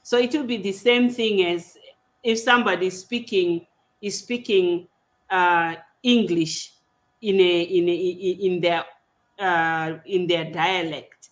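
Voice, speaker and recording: A woman with a Zimbabwean accent, a native Shona speaker, hosting an online panel in a deliberate, explanatory, conversational way. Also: fairly low pitch, fairly even intonation. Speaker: podcast host. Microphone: video call.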